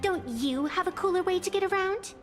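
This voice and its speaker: A woman's voice: very high voice, fairly quick, fairly bright tone. An actress voicing a cheeky, imperious female trickster in a video game, breezy, showy and teasing. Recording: long reverb, music underneath.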